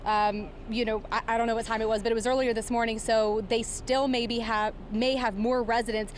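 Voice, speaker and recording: A woman working as a field news reporter, giving a live breaking report in a halting, improvised, explanatory way from outdoors. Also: on the high side, level tone. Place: outdoors outside a warehouse. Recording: clear background noise outdoors.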